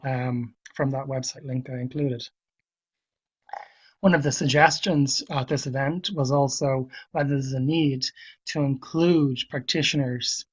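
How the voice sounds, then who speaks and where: A man with a cold presenting to a webinar, speaking in a measured way and thinking aloud with fillers and restarts. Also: mid-range voice, slightly rough. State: hoarse from a cold. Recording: dull lo-fi webinar audio.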